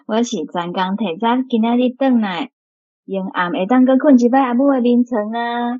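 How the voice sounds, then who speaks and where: An actress playing an affectionate, caring grown daughter and aunt in a radio drama, with a Taiwanese Hokkien accent. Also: female voice, fairly high pitch, a little slow, mellow, dry recording, lo-fi sound, close to an everyday voice.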